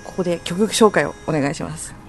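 A woman's voice: on the low side, fairly quick, creaky. A woman hosting a casual radio talk, chatting with a co-host. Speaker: radio show host, navigator. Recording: faint music behind the talk. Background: faint background music.

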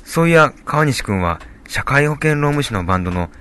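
A man playing a calm, supportive young father and husband in a radio drama, speaking in a low-key, casual way. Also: brisk, slight background noise, lightly acted. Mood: thoughtful. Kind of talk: amateur radio drama dialogue.

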